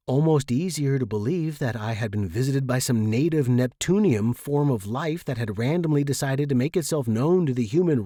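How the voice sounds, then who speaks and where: A male narrator reads scripted first-person fiction. His delivery is expressive and measured, with lively intonation around a medium pitch. The recording is dry, with almost no room sound. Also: dry recording. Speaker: audiobook narrator.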